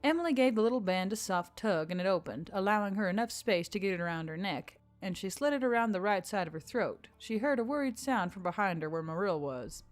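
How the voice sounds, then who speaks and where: A woman's voice: medium pitch, expressive, fairly quick, hardly any pauses. Woman narrating a story over music. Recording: background music under the voice.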